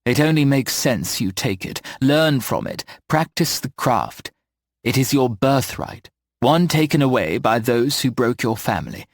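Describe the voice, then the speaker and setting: A man's voice: medium pitch, sing-song, unhurried, no room sound. A man reading aloud and voicing a kindly, motherly older village witch who speaks in an encouraging way. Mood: tender.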